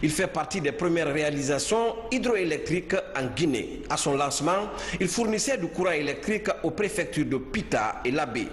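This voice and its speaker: A man reads a news report in a measured, formal tone, his voice a little high for a man. The room is roomy and echoey, with a long reverb tail. A faint hiss sits underneath. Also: expressive. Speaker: field reporter.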